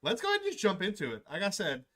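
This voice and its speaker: A man's voice: high voice, huge pitch swings, racing, noisy background. A man streaming from home on a desk microphone, chatting casually and upbeat with his viewers, rambling with restarts. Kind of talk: casual livestream chat.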